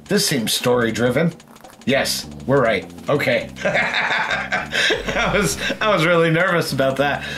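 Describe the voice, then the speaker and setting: A man's voice: on the high side, animated, slow pace, sharp tone. A man hosting a gaming show, chatting casually while he plays, with self-deprecating jokes and swearing. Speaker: gaming show host playing the game. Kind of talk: casual banter over video game play. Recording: game music under the voice.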